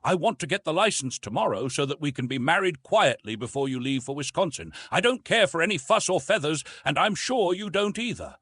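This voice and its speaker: A man's voice: fairly high pitch, animated, a little fast, hardly any pauses, a bit bright, husky, no echo, voice pushed up. A man voicing a cynical, blunt businessman suitor with a condescending, domineering manner in an audiobook. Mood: blunt, pressing.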